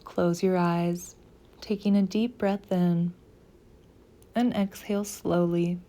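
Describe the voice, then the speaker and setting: A woman leading a guided meditation as a scripted practice, speaking softly and gently in deliberate phrases with pauses. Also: on the low side, fairly even intonation.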